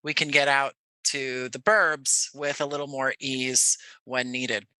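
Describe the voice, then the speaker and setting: Male podcast guest from New Jersey: very bright, sharp voice, effusive casual chat, dry video-call recording. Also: level tone, slow, smooth, dry recording, poor audio. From New Jersey, USA. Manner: high-energy, effusive. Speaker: podcast guest, audio playwright.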